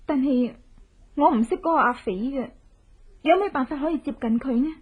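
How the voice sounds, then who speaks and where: An actress as a high-voiced, vengeful widow in hiding. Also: female voice, moderate pace, close to an everyday voice. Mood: urgent, eager. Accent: Hong Kong Cantonese. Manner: intense, melodramatic. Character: vengeful widow in hiding, determined, desperate, posing as beggar. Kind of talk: Cantonese horror radio drama acting. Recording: muffled lo-fi radio drama recording.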